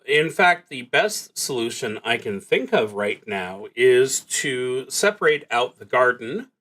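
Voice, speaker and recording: A man streaming a solo tabletop roleplaying game, thinking aloud in a halting, reflective way as he works through his moves. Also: medium pitch, sing-song, a bit bright.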